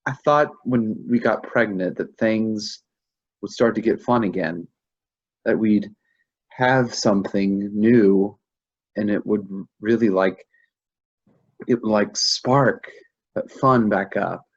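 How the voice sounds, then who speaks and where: A man acting a shaken, confused husband in grief in a staged play reading, speaking haltingly and repeating himself. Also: fairly low pitch, breathy edge, lo-fi sound, exaggerated voice. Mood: wistful. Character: shaken, confused husband grieving a lost pregnancy.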